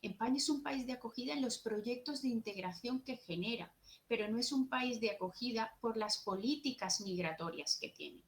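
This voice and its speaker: A woman's voice: a little high, moderate pace, low-quality recording. A young woman with a Jerez Andalusian accent giving an earnest, explanatory conference talk at a social work seminar, partly read from notes. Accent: Andalusian Spanish, from Jerez.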